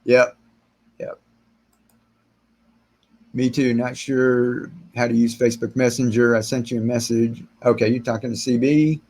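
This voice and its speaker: A middle-aged man hosting a gardening livestream Q&A, slightly raspy from long talking, rambling and relaxed as he answers viewer comments. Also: medium pitch, measured pace, long pauses. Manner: rambling, relaxed, many restarts and fillers, gestures with hands. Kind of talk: casual live-stream chat, reading and answering viewer comments. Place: small indoor room with shelves of plants.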